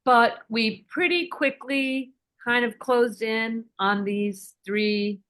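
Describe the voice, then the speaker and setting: A woman filmmaker telling her story conversationally as an interview guest, measured and with deliberate pauses. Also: on the high side, fairly even intonation, fairly bright tone.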